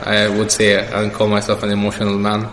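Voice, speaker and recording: A man's voice: on the low side, completely flat delivery, brisk, a bit bright. A young man answering questions as the guest in a casual personal interview. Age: young adult. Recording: echoey reverberant room with background noise.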